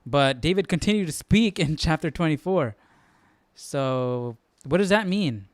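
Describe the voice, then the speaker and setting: Casting: male livestream study host, slightly higher voice, informal, thinking aloud, dry close sound. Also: animated, unhurried, no echo. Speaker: host of a live Bible study. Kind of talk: casual livestream chat.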